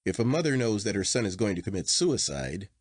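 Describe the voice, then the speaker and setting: A man voicing a horrified, incredulous gentleman in a Victorian story, exclaiming in an agitated manner. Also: on the low side, very animated, fairly quick, husky, no echo, studio quality. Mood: shocked, incredulous.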